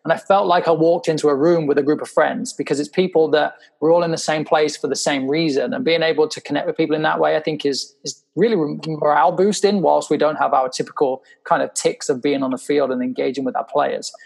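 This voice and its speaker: A man's voice: on the high side, fairly even intonation, fast talker, gravelly, hardly any pauses, darkish tone. A man hosting a casual podcast interview, chatty and often repeating words as he thinks. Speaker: podcast host.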